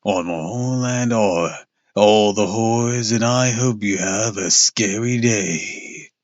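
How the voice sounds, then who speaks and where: A man doing a gruff, eerily polite monster. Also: lowish voice, no room sound, dull audio. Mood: eerie calm. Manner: gruff, slow and soothing. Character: gruff, low-voiced monster ticket taker, eerily polite.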